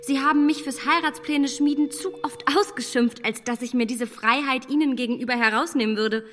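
An actress playing a self-assured, teasing young gentlewoman in a radio drama, playful and pointed, in a reverberant room. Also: high voice, brisk, a little hiss or hum.

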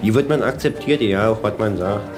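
Male theatre actor, informal interviewee, low voice with music underneath. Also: normal speed. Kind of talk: casual interview talk. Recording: music playing behind the voice.

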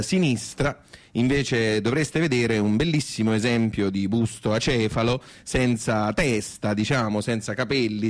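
An actor playing a pompous, bumbling guide in a radio play, addressing listeners with digressions and tag questions. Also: male voice, mid-range voice, natural voice. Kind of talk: mock guided-tour radio monologue.

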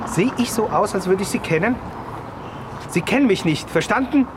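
A man's voice: high-pitched, lively intonation, moderate pace, background noise. An actor playing an edgy, provocative male investigator, insistent and needling, in a radio play.

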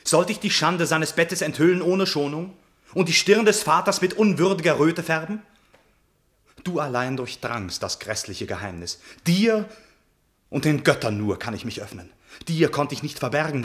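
An actor playing a noble, ardent young prince, declaiming impassioned verse in a classical German radio tragedy. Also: male voice, a little high, a bit bright. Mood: wounded tenderness.

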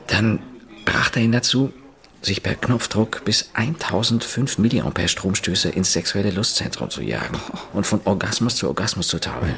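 A gravelly, shaken journalist. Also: male voice, sits low, a bit bright, exaggerated voice. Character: shaken journalist Pit Kern, beaten up. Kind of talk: radio drama acting.

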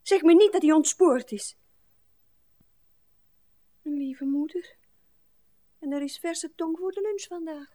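A prim, fretful spinster in a radio drama, extremely high in pitch. Her delivery is slow and fluttery, always on the edge of alarm, with a muted tone. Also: warm tone. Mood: panicked. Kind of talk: radio play acting.

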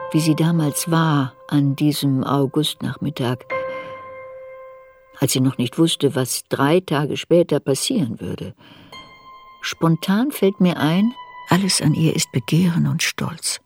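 An actor narrating a literary radio drama as a reflective narrator looking back on youth. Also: male voice, on the high side, measured pace, a little mellow. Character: narrator recalling a girl's youth in reflective memoir. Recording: studio radio drama with music.

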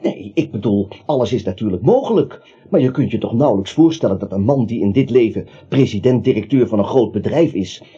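An actor in a Dutch radio play, playing a bewildered, grumbling everyman with a husky voice and hesitant, plaintive questions. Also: fairly low pitch, animated, brisk, mellow. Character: bewildered, anxious, grumbling everyman dragged into a manhunt. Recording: dull, muffled radio play recording.

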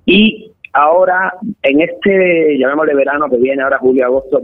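A man who plays in a band, a guest in a casual radio interview, relaxed and repeating words for emphasis. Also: mid-range voice, no room sound. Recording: tinny phone-like line.

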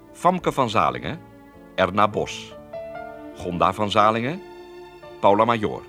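A man as a radio drama announcer, reading out scripted closing credits. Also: lowish voice. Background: music.

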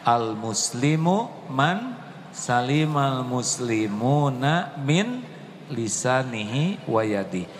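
A man preaching a sermon to an audience and answering questions, gentle at times, then rising to impassioned exclamations. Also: medium pitch, sing-song, slow, long reverb tail, slight background noise.

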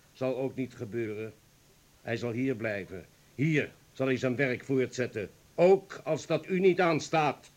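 An actor playing a grave, resolute comrade burdened by guilt, speaking deliberately in a radio play. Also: male voice, medium pitch, close to an everyday voice.

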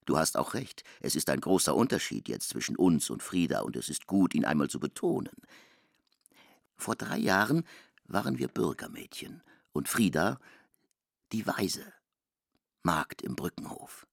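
An actor playing a sombre narrator in a German literary radio drama, reading a villager's resigned words in a measured way. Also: male voice, low-pitched, sing-song, creaky, no room sound, studio quality, exaggerated voice.

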